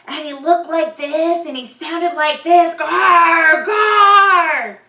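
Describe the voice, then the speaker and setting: A woman voicing an excitable, insistent little storybook boy who shouts and stretches out his words. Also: extremely high pitch, very slow, echoey room, distant mic, pitched above the performer's own voice, exaggerated voice. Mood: eager.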